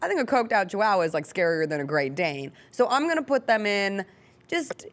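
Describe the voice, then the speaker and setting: A woman's voice: mid-range voice, very animated, fairly quick. Wry woman hosting sports talk. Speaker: sports talk show host. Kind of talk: casual sports banter.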